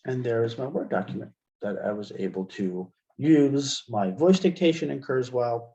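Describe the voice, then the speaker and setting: A middle-aged American man giving a casual, step-by-step software demonstration as a webinar trainer, speaking into a headset. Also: mid-range voice, normal speed, highs cut off. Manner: explanatory, step-by-step. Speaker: assistive technology trainer.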